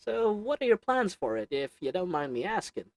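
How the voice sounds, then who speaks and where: Performer doing a goblin merchant, sly, wheedling, sarcastic, swooping pitch, dry close sound. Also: sing-song, moderate pace, no echo. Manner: wheedling, sarcastic salesman patter; chuckles between lines. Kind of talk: audio roleplay acting to the listener.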